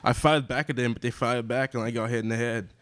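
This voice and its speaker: A man's voice: mid-range voice, steady tone, fast, fairly bright tone, lightly acted. A young man playing a dazed, apologetic train guard in a live student radio play. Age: young adult. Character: groggy, apologetic train guard just knocked out. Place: classroom studio, indoors.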